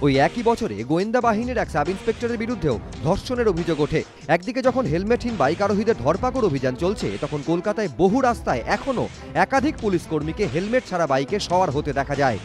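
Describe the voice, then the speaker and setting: A man's voice: high-pitched, very animated, quick pace. A man reading scripted news narration in a dramatic, emphatic way. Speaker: news reporter. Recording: music bed under voice. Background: background music.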